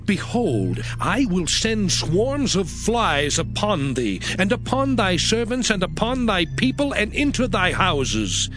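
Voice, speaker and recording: Moses, a stern, slow, sweeping prophet. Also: male voice, high voice, very animated, over music, full character voice. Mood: stern warning. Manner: solemn, emphatic declamation. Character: Moses, stern commanding prophet delivering God's warnings. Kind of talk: dramatized scripture acting.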